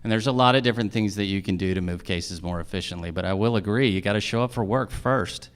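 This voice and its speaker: Smooth low-voiced man, explaining. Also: fast talker. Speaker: judicial candidate at a public forum. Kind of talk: campaign forum answers, explanatory public speaking.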